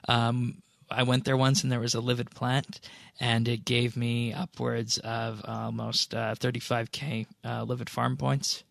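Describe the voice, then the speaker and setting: A man's voice: medium pitch, flat delivery, unhurried, vocal fry, a bit bright, no room sound. A man hosting a podcast, casually going through game patch notes in an explanatory, conversational way. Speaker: podcast host.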